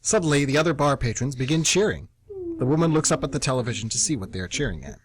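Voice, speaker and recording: A man's voice: mid-range voice, animated, fast. A man narrating a radio play, reading scripted stage directions.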